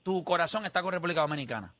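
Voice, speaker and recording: A man's voice: a little high, animated, rapid-fire, pushed and bright. A man with a Puerto Rican accent hosting a casual sports call-in show, teasing callers in slangy banter.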